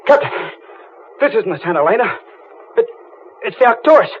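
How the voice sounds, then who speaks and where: An actor playing a haunted, guilty diver in a radio thriller, stammering and frantic with fear. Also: male voice, sits high, big pitch swings, brisk, slight background noise. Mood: panicked. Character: haunted, terrified male diver with guilty secret. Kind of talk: vintage radio thriller acting. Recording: muffled old radio drama recording.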